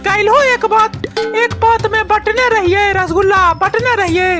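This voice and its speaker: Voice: extremely high pitch, steady tone, moderate pace. An actor voicing a brash, pushy young village boy in a comic cartoon, gossipy and taunting, speaking with a Maithili accent. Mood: mocking, boastful. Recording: music behind the voice.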